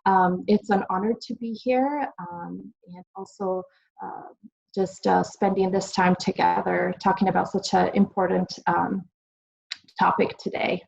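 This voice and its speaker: A woman from Italy, giving a webinar presentation over a video call and filling pauses with frequent ums and uhs. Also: on the low side, fairly even intonation, creaky, darkish tone, husky. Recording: dull lo-fi video call audio.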